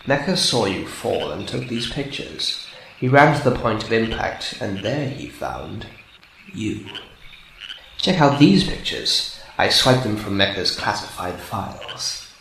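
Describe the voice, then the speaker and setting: Radio-drama villain: taunting clawed robot, acted by a man, animated and needling, recorded in a roomy space with faint noise. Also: on the low side, measured pace, vocal fry, full character voice. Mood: scornful storytelling. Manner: gloating, mock-sweet needling. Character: sadistic, taunting male robot hunter with claws.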